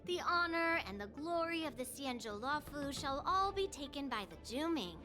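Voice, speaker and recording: A proud, teasing young swordswoman for an anime game. Her voice is high and animated, theatrical and a little formal, with music clearly behind it. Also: normal speed. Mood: proud encouragement. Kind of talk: anime-style video game voice acting.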